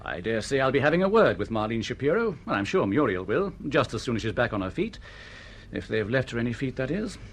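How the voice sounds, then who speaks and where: An actor playing a breezy, reassuring male literary agent with a husky voice in a radio drama. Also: medium pitch, big pitch swings, fast. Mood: breezy reassurance.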